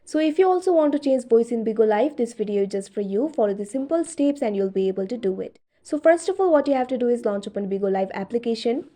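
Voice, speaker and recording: A woman's voice: fairly high pitch, animated, fast, hardly any pauses, dark tone. A woman narrating a scripted step-by-step app tutorial as its host, in an instructional manner with repeated set phrases.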